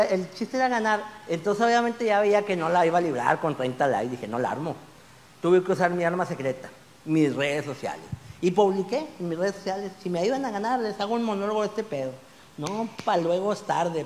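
A man, doing an animated stand-up routine full of crude slang on a small club stage, holding a handheld mic. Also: high voice, big-room sound. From Mexico. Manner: animated, gesturing broadly, crude slang, rising to shouts on punchlines. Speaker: stand-up comedian.

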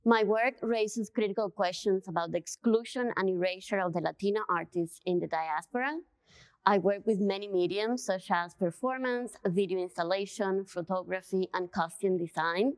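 A woman's voice: mid-range voice, moderate pace, no echo. Woman in her thirties, dry sound. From Cuba. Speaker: panel guest artist. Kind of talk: panel conversation.

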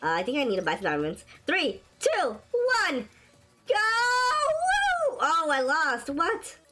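Excitable woman as gaming host, raspy sore throat, lively high voice, faint music bed. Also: slow. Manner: excitable, shrieks and laughs at wins and deaths. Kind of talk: casual gameplay commentary. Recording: faint game music behind.

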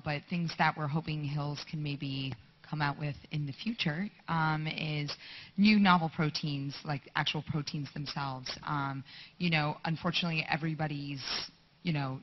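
A woman's voice: low voice, fairly even intonation, normal speed, slight background noise. A woman in the audience giving a blunt, opinionated comment with fillers. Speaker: audience member. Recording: distant muffled hall audio.

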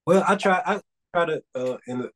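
A man's voice: a little high, lively intonation, fairly quick, fairly bright tone. A young man, a guest singer, talking earnestly over a video call from a room at home, rambling a bit with restarts. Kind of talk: casual interview. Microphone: video call webcam.

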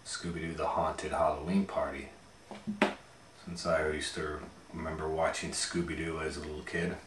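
A man in his forties reading a children's story aloud in a casual, informal, unhurried way. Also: rumbling low, level tone, roomy sound, very noisy. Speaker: storytime host and reader. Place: small plain room indoors.

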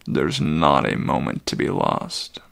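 A man voicing a very old, weary male centaur with a deep, gravelly voice, pleading slowly and heavily in a dramatic storybook reading. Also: fairly bright tone, full character voice. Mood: urgent, weary. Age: older.

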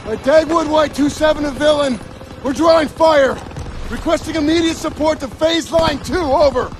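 A man's voice: very high voice, normal speed, background noise. A man playing an impatient American infantry soldier in battle, making clipped, urgent calls.

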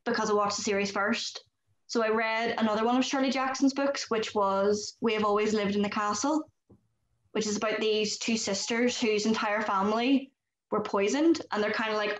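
A young woman with a Northern Irish accent hosting a video-call panel from home, animated and self-deprecating. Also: mid-range voice. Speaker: host. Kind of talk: casual video call chat. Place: home room indoors. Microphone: laptop webcam on video call. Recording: roomy webcam audio.

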